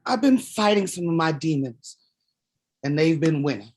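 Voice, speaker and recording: A woman acting a weary mother in a stage drama reading. She speaks bluntly and with authority, proud even when drained. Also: deep voice, normal speed. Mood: weary, irritated. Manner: blunt, commanding. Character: weary, proud mother, playwright at odds with her demons.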